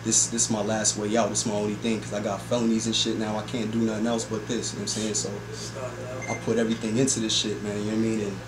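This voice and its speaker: A man's voice: on the low side, level tone, fast talker, a crowd in the background. A young man, a rapper, chatting casually as an interview guest in a slangy, rambling way full of repeated filler phrases. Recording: echoey room with background noise.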